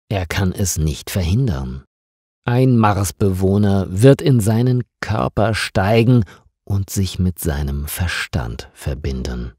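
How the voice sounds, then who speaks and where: Slow, mellow male storyteller. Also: a bass voice, slightly rough, no echo, clean studio sound. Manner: deliberate, simple short phrases. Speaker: storyteller for language learners. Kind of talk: slow scripted story narration.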